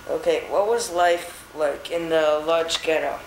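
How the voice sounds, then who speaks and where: A man's voice: a little high, unhurried, echoey room, slight background noise, rough recording. A young male interviewer asking short prepared questions for a school project.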